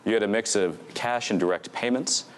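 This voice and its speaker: A man's voice: lowish voice, a little fast, a little hiss or hum. A young man giving an academic conference presentation from a podium microphone, speaking fluently with frequent fillers. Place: lecture hall stage at a podium.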